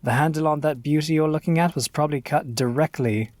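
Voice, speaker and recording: A man's voice: on the high side, brisk, a little mellow, dry recording, close to an everyday voice. A man playing a confident young occultist in an audio drama, dryly matter-of-fact about horrors. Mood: relishing, matter-of-fact. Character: wry, casually ghoulish young male grave robber and occultist.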